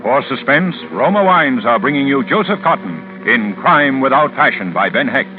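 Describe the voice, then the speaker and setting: Old radio announcer guy, formal n dramatic, muffled, big loud music behind. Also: mid-range voice, lively intonation. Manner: dramatic, deliberate. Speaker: program announcer. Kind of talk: formal 1940s radio introduction.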